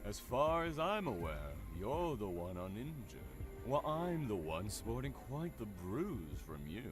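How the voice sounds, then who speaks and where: A man playing a composed, defiant kidnapper in a visual novel, speaking formally and wryly in a measured way. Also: medium pitch, very animated. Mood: wry, controlled. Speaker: game character voice actor. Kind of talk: dramatic visual novel voice acting. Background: music.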